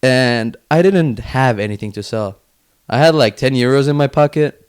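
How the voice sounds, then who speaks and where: Man, from Finland, smooth clear voice, medium pitch, casual podcast guest, informal filler-heavy phrasing. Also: normal speed. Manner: informal, peppers talk with "like". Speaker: podcast guest, young entrepreneur. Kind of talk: casual interview chat.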